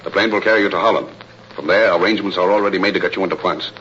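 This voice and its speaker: An actor playing a grave, devoted spy who poses as a military colonel, speaking in measured 1930s radio melodrama style. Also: male voice, deep voice, steady tone, fairly bright tone. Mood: commanding. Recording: muffled, noisy old radio broadcast.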